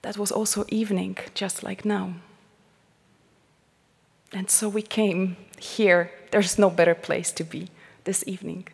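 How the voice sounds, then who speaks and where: A religious sister with a Polish accent giving a heartfelt talk to a congregation, slowing to a hushed tone when she prays. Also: medium pitch, little pitch movement, gravelly, husky. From Poland. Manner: slow, heartfelt, drops to a hushed near-whisper in prayer. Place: large church shrine. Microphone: headset mic. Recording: long church reverb.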